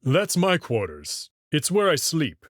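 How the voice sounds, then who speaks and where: A man's voice: fairly high pitch, wildly swooping pitch, slow, no room sound, clean studio sound, exaggerated voice. A man reading a guarded wolf ship captain. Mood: measured.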